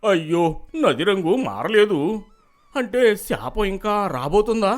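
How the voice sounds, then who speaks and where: An agitated man from the village crowd in a cartoon moral tale. His questions come out raised and accusing, with pitch that jumps around a lot. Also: big pitch swings, measured pace. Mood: anxious. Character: anxious, angry village man.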